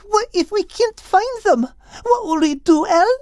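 A man's voice: very high-pitched, animated, a little slow, darkish tone, no room sound, clean studio sound, pitched above the performer's own voice, heavily acted. A man voicing an anxious, devoted female dog with a trembling, pleading manner.

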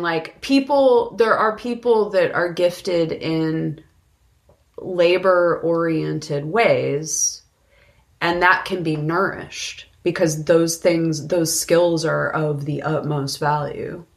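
A woman co-hosting a podcast, chatting casually about film in a blunt, opinionated way with a gravelly creak in her voice. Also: on the low side. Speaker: podcast co-host. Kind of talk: casual film discussion chat.

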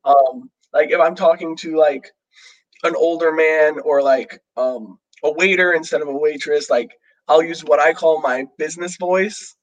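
A young man talking casually with a fellow student, his voice sitting slightly high. He rambles and restarts often, packing in fillers as he works through his thoughts. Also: measured pace, low-quality recording. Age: young adult. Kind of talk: casual chat.

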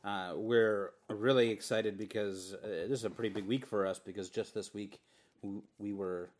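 A man from Minnesota co-hosting a casual podcast, relaxed and hesitant, with many fillers. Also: on the low side, normal speed. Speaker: podcast co-host.

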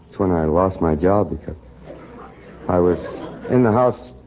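A man with an American accent answers casually as a contestant. His voice is fairly low, halting and sheepish, on a muffled, noisy vintage radio recording. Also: animated, a faint crowd. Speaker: quiz show contestant.

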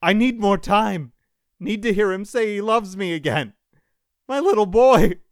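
A man's voice: medium pitch, sing-song, a little slow, no echo, studio quality, pitched above the performer's own voice. A man voicing a tender, earnest father who is desperately attached to his young son, in an audiobook story. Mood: desperate, begging. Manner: emotional, earnest.

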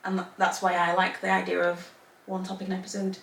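Bright chatty podcast woman, talks in long run-ons, sounds a bit off-mic in an echoey room. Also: lowish voice, completely flat delivery, fast talker, creaky, far from the mic. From Zambia, raised in England. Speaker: podcast co-host. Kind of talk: casual chat. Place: home room, Northern Ireland.

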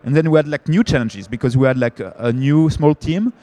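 A man's voice: mid-range voice, a little fast, dark tone. French-accented man on a panel. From France. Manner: hesitant, many fillers and restarts. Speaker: panelist, company founder. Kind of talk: conference panel discussion.

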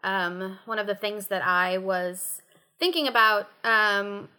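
A woman in a casual panel discussion, speaking tentatively and haltingly as she frames her point. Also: medium pitch, bright, forceful tone, smooth. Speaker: roundtable panelist.

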